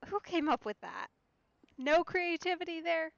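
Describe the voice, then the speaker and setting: A woman's voice: extremely high pitch, animated, a little slow, gravelly. A woman giving casual, amused and wry commentary as she streams a game. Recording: muffled, roomy mic with faint game music. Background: game music.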